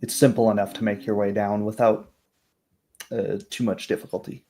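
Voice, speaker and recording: A man narrating a tabletop roleplay game as game master, descriptive and somewhat halting. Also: on the low side, flat delivery, dark tone, a little hiss or hum.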